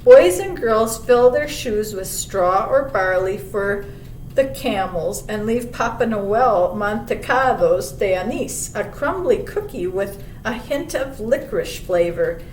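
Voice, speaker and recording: A woman reading a children's picture book aloud as a library storyteller, pausing for chatty asides and questions to young listeners. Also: animated, darkish tone. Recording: roomy, distant mic with clear low rumble noise.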